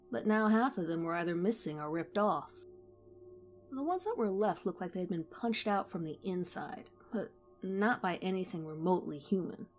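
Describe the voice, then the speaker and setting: A woman narrating a horror story in a measured, steady way. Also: mid-range voice.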